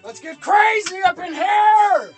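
A man's voice: very high voice, expressive, slow pace, soft background music. A man in his thirties hosting a rowdy, goofy cooking livestream in a small kitchen, exuberant and given to whooping. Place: small camper kitchen, indoors.

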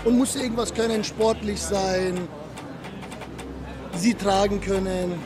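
A man's voice: high-pitched, fairly even intonation, takes it slowly. A young man doing a street interview outdoors at night with a handheld mic, asking casual questions and teasing the answers. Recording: outdoor street with music and crowd noise.

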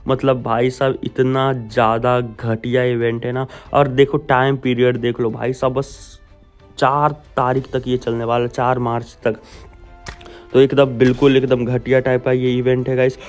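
A young Indian man delivers casual gaming commentary as a voice-over. His pitch sits in the middle and stays fairly flat while he addresses viewers like friends in an informal, blunt way. Faint music plays behind him. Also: moderate pace. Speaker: gaming YouTuber. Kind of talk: casual video game update commentary. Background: background music.

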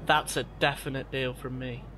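A man's voice: mid-range voice, level tone, normal speed, sharp tone, lightly acted, poor audio. A man playing a frank, anxious young gambler on the run in an audio drama. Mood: relieved. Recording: clear background noise.

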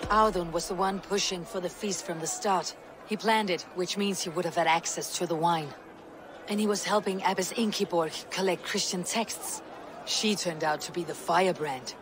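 Woman acting a stern husky Viking warrior. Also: fairly low pitch, normal speed, noisy background, close to an everyday voice. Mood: serious. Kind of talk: video game dialogue acting.

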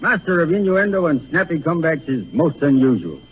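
A man's voice: on the high side, sing-song, brisk. Courteous male academic for 1930s radio comedy, formal delivery, muffled recording with light noise. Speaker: guest academic presenting a degree.

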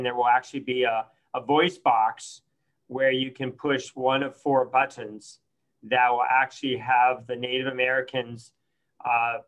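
Flat-toned man chairing a council meeting. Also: mid-range voice, low-quality recording. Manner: halting, fills pauses with uh and restarts.

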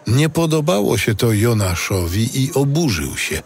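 A man playing a solemn narrator, reading a scripted audio Bible in a measured way. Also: mid-range voice, big pitch swings, soft background music. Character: solemn male biblical narrator.

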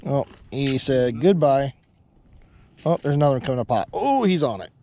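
A young man filming himself ice fishing outdoors on a frozen lake, chatting excitedly to viewers about each fish. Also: medium pitch, slight background noise. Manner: excited, chatty, quick to laugh. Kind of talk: casual play-by-play to viewers. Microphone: head-mounted action camera.

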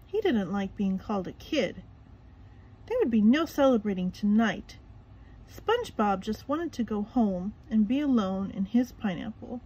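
Expressive, slow-paced woman reading a storybook. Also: mid-range voice, husky, poor audio. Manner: expressive, dramatic emphasis. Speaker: storybook reader. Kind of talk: scripted children's story narration.

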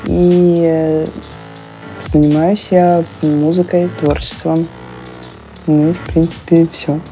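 A woman's voice: low-pitched, little pitch movement, takes it slowly. A woman in her twenties, a musician, speaking hesitantly with many fillers in a documentary interview. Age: 20s. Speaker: local musician. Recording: music playing under the voice.

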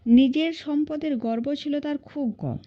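A woman's voice: high-pitched, a little slow, lo-fi sound. A woman narrating a classic short story as an audiobook reader, in scripted storytelling style.

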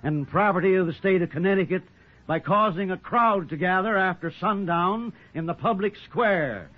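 A man's voice: high voice, moderate pace. An actor playing a formal man, declaiming in 1940s radio drama style. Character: formal man reading out a charge. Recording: tinny, scratchy 1940s radio recording.

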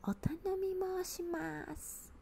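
A woman voicing a tiny, brave, cheerful little boy in an eager, polite breathy whisper for an ASMR storybook, close to a handheld recorder. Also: extremely high pitch, fairly even intonation, takes it slowly, dark tone, slight background noise, voice pushed up, full character voice.

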